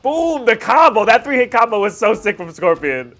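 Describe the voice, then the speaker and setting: A man's voice: high-pitched, sing-song, moderate pace, a bit bright. A man in his thirties giving casual reaction commentary as a video host, talking like an enthusiastic fan with slangy asides.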